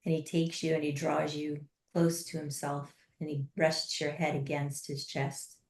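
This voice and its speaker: Low, steady-voiced woman guiding a meditation. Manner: slow, soft and unhurried with long pauses. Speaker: guided meditation leader. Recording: roomy echo.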